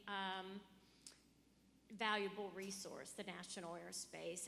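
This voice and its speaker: A woman's voice: mid-range voice, a bit bright. A woman speaking as an expert panelist in a conference discussion, recorded in a hall. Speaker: panelist, aviation regulator. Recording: echoey hall sound with background noise.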